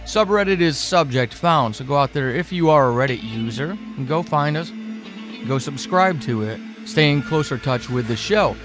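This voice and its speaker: A man hosting an audio drama podcast, reading scripted credits and announcements with deliberate phrasing. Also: on the high side, animated. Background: loud music.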